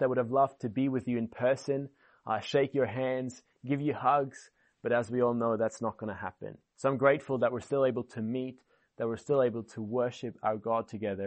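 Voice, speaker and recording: A man's voice: mid-range voice, a little mellow. A man in his twenties from Switzerland with a Swiss accent, giving an earnest, enthusiastic sermon as a guest speaker at an online church service.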